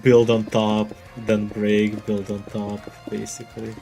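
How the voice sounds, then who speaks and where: A man's voice: fairly low pitch, completely flat delivery, unhurried, gravelly, mellow. A man streaming a game, chatting casually with a friend in a dry, joking way and laughing often. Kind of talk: casual gaming chat. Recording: music playing behind.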